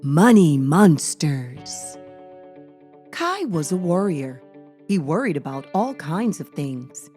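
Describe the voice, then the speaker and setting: A woman hosting a children's read-aloud, narrating in an expressive, deliberate way as she addresses young listeners. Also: deep voice, soft background music. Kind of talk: expressive children's storybook reading.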